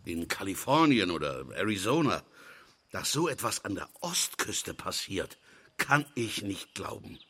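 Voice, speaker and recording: A domineering rich businessman with a low, husky voice and a hard, forceful edge. He is gruff, imperious and quick to contempt, as in a radio crime play. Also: big pitch swings, full character voice. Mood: indignant. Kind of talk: German audio drama acting.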